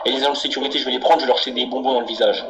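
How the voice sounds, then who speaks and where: A man's voice: medium pitch, steady tone, racing, close to an everyday voice. A man putting on a menacing, candy-obsessed angry customer in a prank phone call, ranting and swearing insistently. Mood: agitated, insistent. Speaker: prank caller. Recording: very noisy phone prank recording.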